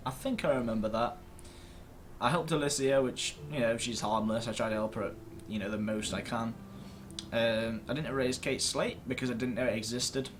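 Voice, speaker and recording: A man with an average-pitched voice talks through a video game in a casual, rambling way. He is self-deprecating and swears mildly. The home recording carries clear noise behind his voice. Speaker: host of a gaming video series. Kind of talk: casual gameplay commentary to camera. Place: indoors at a computer screen.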